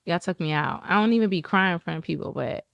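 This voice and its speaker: A woman in casual podcast conversation, an animated storyteller who acts out what other people said. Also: quick pace, gravelly, highs cut off. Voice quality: describes her own talking voice as high-pitched and squeaky.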